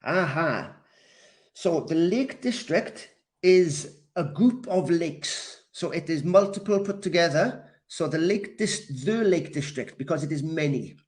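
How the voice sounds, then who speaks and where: A man from Wales teaching a live English class, deliberate and encouraging, repeating key words for learners as he responds to comments. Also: fairly high pitch, expressive. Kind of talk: live grammar lesson, reacting to comments.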